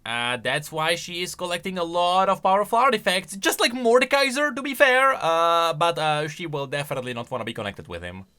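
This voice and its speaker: A man's voice: fairly high pitch, animated, fairly quick, hardly any pauses, pushed and bright, clean tone. A man giving casual, conversational reaction commentary on video game lore, musing aloud as he goes.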